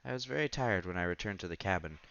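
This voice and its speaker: A man's voice: deep voice, animated, quick pace, vocal fry, fairly bright tone, highs cut off. A man streaming a visual novel, reading the text aloud with casual commentary and stumbling over words now and then. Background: game music.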